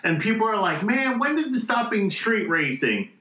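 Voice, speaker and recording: Bright-voiced man in an echoey room. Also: sits high, very animated, moderate pace. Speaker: podcast co-host. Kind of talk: casual chat.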